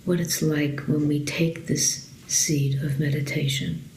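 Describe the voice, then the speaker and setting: A woman meditation teacher leading a guided meditation softly and unhurriedly, with long pauses and a breathy voice that trails toward a whisper. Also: flat delivery. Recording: roomy echo, loud rumbling background noise.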